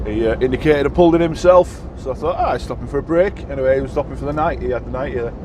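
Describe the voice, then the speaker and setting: Husky trucker chatting over road noise. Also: male voice, mid-range voice, very fast. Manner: chatty, rambling, frequent "uh" fillers. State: husky, croaky. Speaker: truck driver vlogger. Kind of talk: casual vlog chat while driving.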